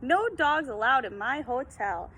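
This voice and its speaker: A woman's voice: animated, measured pace, sharp tone, full character voice. A woman voicing an indignant, officious male hotel manager in a children's storybook, demanding and cross. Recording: very noisy, rumbling background.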